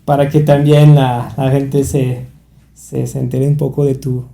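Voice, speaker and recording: A man's voice: medium pitch, level tone, measured pace, vocal fry, warm tone, reverberant. A man interviewing a guest, speaking hesitantly with frequent restarts. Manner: hesitant, many restarts and fillers. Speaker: interviewer for a language institute. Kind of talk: interview conversation.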